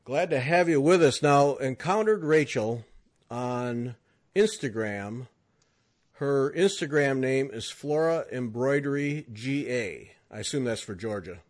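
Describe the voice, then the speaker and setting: A man's voice: mid-range voice, lively intonation, a little slow. A man hosting a podcast, chatting casually with a jokey, teasing manner as he interviews a guest. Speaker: podcast host.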